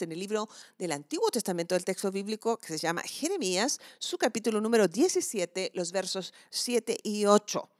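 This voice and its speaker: A woman's voice: medium pitch, no echo, studio quality. A woman giving a conversational devotional sermon, reflective and emphatic, drawing out key words. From southern Chile.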